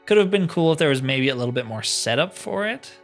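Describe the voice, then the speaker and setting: A man's voice: fairly high pitch, animated, quick pace, faint music behind. A man giving casual playthrough commentary as a gaming host, thinking aloud in short, informal remarks.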